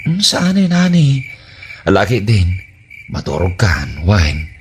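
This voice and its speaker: Man acting sly, swooping low-voiced husband, Ilocano Filipino. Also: huge pitch swings, takes it slowly, a little hiss or hum, full character voice. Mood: wheedling. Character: sly, sweet-talking cheating husband. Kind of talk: Ilocano comedy radio drama acting.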